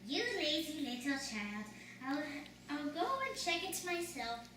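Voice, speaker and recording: A woman's voice: high-pitched, lively intonation, unhurried. A woman voicing a mean, greedy old witch, wheedling and menacing, in a live amateur children's puppet show. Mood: impatient, bossy. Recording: echoey room, distant mic, noisy.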